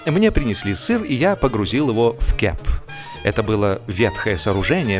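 A man narrating a humorous literary story in an expressive, mock-serious theatrical manner, with deliberate pauses. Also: medium pitch. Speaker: audiobook narrator, actor.

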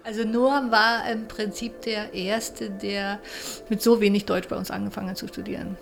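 A middle-aged woman lecturer speaks off the cuff in an office interview. Her mid-pitched voice has a gravelly creak. She halts as she searches for wording. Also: steady tone, normal speed, faint music behind. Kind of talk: spontaneous interview recollection.

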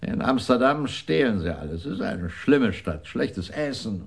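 A man's voice: on the low side, sing-song, unhurried, gravelly, a little hiss or hum, exaggerated voice. An actor playing a dissatisfied, grumbling male visitor in a German radio crime drama, curt and complaining. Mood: grumbling, impatient.

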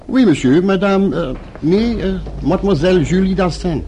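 Mellow, polite French hotel clerk. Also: male voice, sits high, animated, moderate pace, slight background noise. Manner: courteous, formal, sprinkles French titles. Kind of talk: Dutch radio play acting.

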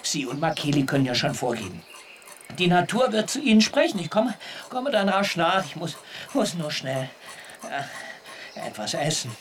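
Radio drama role: frail bearded hermit zealot, high-pitched, stammering, effusive, over noisy ambience. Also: male voice, sing-song, normal speed. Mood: flustered, weak. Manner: stammering, effusive, rambling to himself. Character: frail, starved, bearded castaway man, feverishly eccentric coconut zealot. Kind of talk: German radio play acting.

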